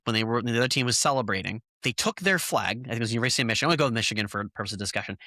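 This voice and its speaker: Podcast host, animated and sarcastic, bright dry sound, recording at home. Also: medium pitch, dry recording. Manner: animated, incredulous, sarcastic asides. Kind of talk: casual podcast chat.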